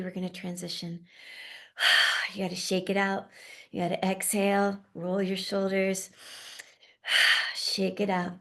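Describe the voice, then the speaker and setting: A woman leading a guided meditation in a soft, slow, often half-whispered voice. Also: medium pitch, vocal fry. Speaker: meditation leader.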